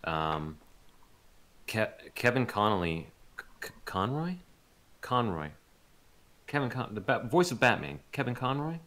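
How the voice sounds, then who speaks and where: A man's voice: medium pitch, big pitch swings, unhurried, pauses often, a bit bright. A man being interviewed as a voice actor, chatting casually and thinking aloud in a hesitant, rambling way. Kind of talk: casual unscripted interview chat.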